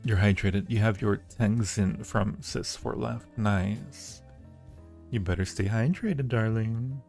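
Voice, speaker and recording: Playful gravelly-voiced man teasing his chat. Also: fairly low pitch, takes it slowly, a little mellow, faint music behind. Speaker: audio roleplay creator hosting a live Q&A. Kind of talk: casual live-stream chat, reading viewer questions.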